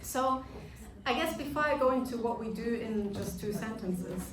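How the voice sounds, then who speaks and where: A woman in her thirties from Germany with a German accent gives an earnest awards-evening speech, pausing often on fillers. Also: fairly high pitch, a crowd in the background. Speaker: award speaker. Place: large echoey function room. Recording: echoey room, distant mic, music behind.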